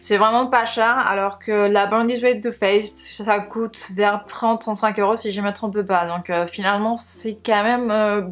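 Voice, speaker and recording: A woman's voice: mid-range voice, steady tone, moderate pace, few pauses. A woman with a Spanish accent, a native Spanish speaker, chats casually to viewers as a beauty vlogger, sometimes searching for words in her second language. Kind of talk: casual product haul chat to viewers.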